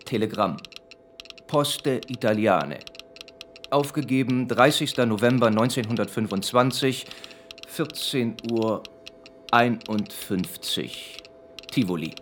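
A man plays a proud disgraced professor in a radio drama, elusive and quietly defiant. He talks slowly and deliberately at a middle pitch, with faint noise behind him. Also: lightly acted. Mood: deliberate, formal. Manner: measured, deliberate. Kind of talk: German radio drama acting.

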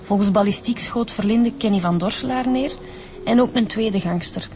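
An actress with a Flemish accent playing a businesslike, matter-of-fact police detective in a radio drama. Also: female voice, medium pitch, normal speed, a little mellow, close to an everyday voice. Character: level-headed, businesslike female police detective.

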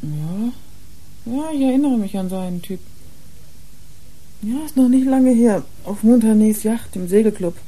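Young female drug user in a radio crime play, frank and defiant, soft dark tone, played by an actress. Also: medium pitch, lively intonation, normal speed, pauses often, natural voice. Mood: frank, remembering. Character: worn young drug-using woman, confiding and defiant. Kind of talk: German radio crime drama acting.

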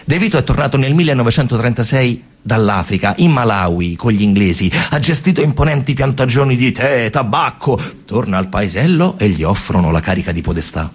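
A man's voice: medium pitch, expressive, brisk, hardly any pauses, breathy edge. A man performing a theatrical narrative monologue, telling the story emphatically in short, colloquial, repeated phrases. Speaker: stage actor and narrator.

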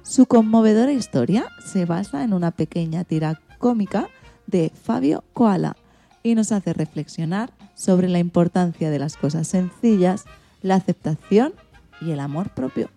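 Very mellow Spanish woman presenting radio. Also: on the low side, lively intonation, normal speed, vocal fry, faint music behind. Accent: Spain. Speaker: radio show host.

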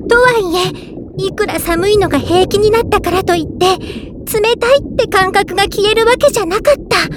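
A woman playing a sweet, bubbly young newlywed mermaid, telling her own story in an expressive Japanese audio drama style. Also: extremely high pitch, a little fast, noisy background. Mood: startled, energetic. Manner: cutesy, expressive first-person narration with exclamations. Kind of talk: Japanese audio drama monologue, game tie-in. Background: music.

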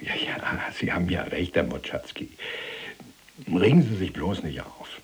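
An actor playing an imprisoned former police archivist, chatty, pedantic and evasive, with a rough, husky voice in a radio drama. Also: male voice, low-pitched, big pitch swings, unhurried, in a whisper, full character voice. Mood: placating. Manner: folksy, hedging, placating. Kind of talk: dramatized conversation.

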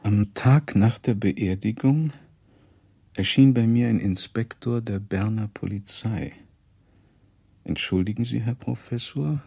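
An actor playing a learned male professor who narrates a memory drily and in measured phrases for a radio drama, with a husky, creaky voice. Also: lowish voice, mellow, heavily acted. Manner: measured, dry, quoting others as he goes.

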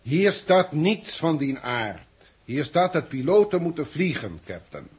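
An actor playing an evasive, formal and pedantic official in a 1960s radio drama. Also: male voice, a little high, big pitch swings, unhurried, slightly rough, heavily acted. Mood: insistent, defensive. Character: evasive, formal male official fending off angry questioners.

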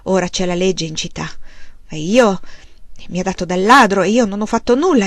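A woman reading a story aloud and voicing a proud, desperate male frontier farmer who speaks in bitter, forceful outbursts. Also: on the high side, no room sound, studio quality, lightly acted.

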